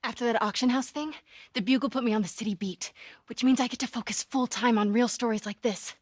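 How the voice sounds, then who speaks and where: A woman voicing a plucky, curious young American reporter in a video game, with a breathy voice and a natural, wry delivery. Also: fairly high pitch, a little fast, dry recording, clean studio sound, lightly acted. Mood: wry, determined. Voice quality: breathy, often hushed.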